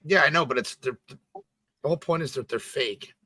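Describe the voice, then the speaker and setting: A man with a bright tone chats casually, still a little stuffy from a recent cold. He rambles with plenty of fillers and laughs easily. His voice is dry and close to the mic. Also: mid-range voice, a little fast, dry recording. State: a little congested. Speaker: co-host. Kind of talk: casual chat.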